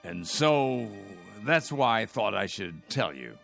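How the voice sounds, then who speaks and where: An actor playing a worried, stubbornly practical old man in a radio drama, with a creaky voice and a fretful, scoffing manner. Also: on the low side, very animated, takes it slowly, a bit bright, faint music behind, heavily acted. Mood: worried, indignant. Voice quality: creaky, gravelly.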